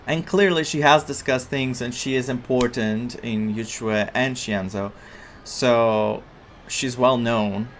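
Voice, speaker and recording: A man giving casual, excitable let's play commentary as a game streamer. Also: mid-range voice, slow, slight background noise. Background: game music.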